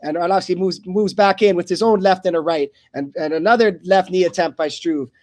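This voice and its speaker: A man doing live radio play-by-play of a fight in short, halting phrases that often repeat. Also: high-pitched, darkish tone. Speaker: play-by-play commentator.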